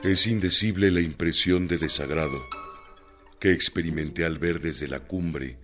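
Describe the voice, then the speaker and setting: A man playing a grave, articulate revolutionary-era army general in a radio drama, speaking in a solemn, measured, declamatory way. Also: extremely low pitch, fairly bright tone. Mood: anguished, reflective. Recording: radio play with music underneath.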